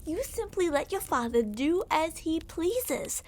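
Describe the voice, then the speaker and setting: A woman's voice: extremely high pitch, sing-song, slow pace, exaggerated voice. A woman playing a smug, menacing yandere royal captor in an ASMR roleplay, speaking in a theatrical, condescending, mock-affectionate way. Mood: reproachful, smug.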